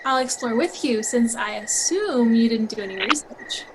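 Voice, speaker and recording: Practical know-it-all young woman, acted by a woman, highish voice. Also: lively intonation, moderate pace, close to an everyday voice. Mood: matter-of-fact. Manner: matter-of-fact, explanatory. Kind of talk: audio drama dialogue. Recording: faint noise with night insects. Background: crickets and frogs.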